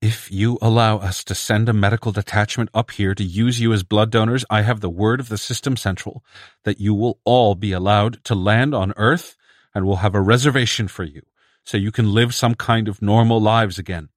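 A man voicing a male Earth envoy who talks quickly, pleading and smoothly persuasive. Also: fairly low pitch, steady tone, no room sound, studio quality, natural voice.

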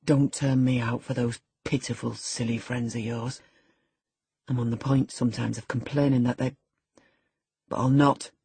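A morose, jealous teenage labourer boy played by a woman narrator from a classic storybook. The voice is low and very husky, surly and insistent in its delivery. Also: level tone, normal speed, pauses often, dark tone, no echo, heavily acted. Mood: bitter, insistent. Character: sullen, morose, jealous sixteen-year-old boy, rough farm labourer.

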